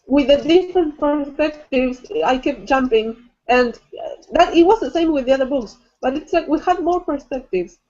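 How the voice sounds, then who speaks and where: A woman's voice: high-pitched, moderate pace, darkish tone, lo-fi sound. A woman co-hosting a casual, informal book chat, often repeating words as she talks, on livestream audio. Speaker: co-host of a book discussion livestream.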